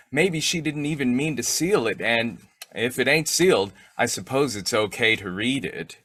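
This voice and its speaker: Man playing snoopy, blustering radio-comedy husband, swooping pitch. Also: lively intonation, a little slow, a bit bright, no room sound. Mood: gleeful, sneaky. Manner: muttering to himself, stammering, exclaiming. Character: Fibber, snoopy blustering husband, sly and childlike. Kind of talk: old-time radio comedy acting.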